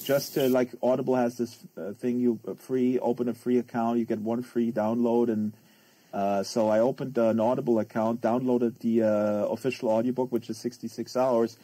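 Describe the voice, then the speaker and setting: A man hosting a casual livestream, chatting in a halting, rambling, conversational way. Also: medium pitch, flat delivery, dark tone, low-quality recording. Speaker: host of a live book-reading stream.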